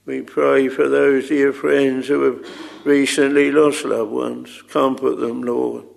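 A man leading an extempore public prayer, solemn and halting, in archaic thee-and-thou language. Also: mid-range voice, level tone, gravelly.

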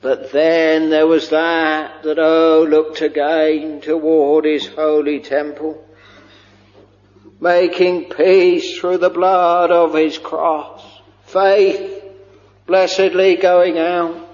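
A man preaching a slow, fervent sermon, drawing out his words and sometimes lifting into a chant-like lilt. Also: on the high side, flat delivery, a little mellow. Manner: drawn-out, intoning, breaks into a chant-like lilt. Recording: dull lo-fi recording with long hall reverb.

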